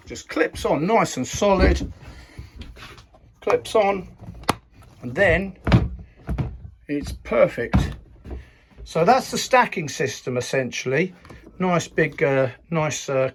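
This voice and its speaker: A man with a croaky voice, hosting a casual tool review video in a chatty, rambling way and laughing at himself. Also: mid-range voice, background noise. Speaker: YouTube channel host.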